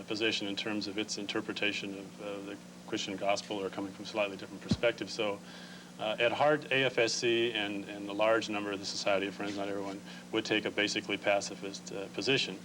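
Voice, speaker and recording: An American man answering interview questions as an organization's representative, explaining things in a measured, careful way. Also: lowish voice, flat delivery. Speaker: Quaker relief organization representative, interview guest. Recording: noisy, humming old TV recording.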